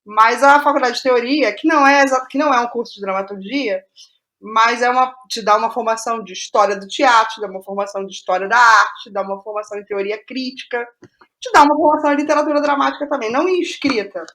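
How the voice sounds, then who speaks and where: A middle-aged woman with a Rio de Janeiro Brazilian accent, telling her story casually as an interview guest, halting and repeating phrases for emphasis. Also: a little high, a bit bright. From Rio de Janeiro state, Brazil.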